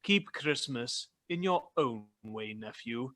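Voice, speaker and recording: Man acting a sneering, bright-voiced old miser. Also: mid-range voice, lively intonation, slow. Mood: bitter, contemptuous. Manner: curmudgeonly, sneering, dismissive. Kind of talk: radio play acting.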